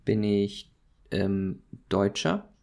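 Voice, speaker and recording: A man speaking, joking around during a guessing game and giving quick, short answers. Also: on the low side, expressive, gravelly, warm tone. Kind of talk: casual guessing game chat.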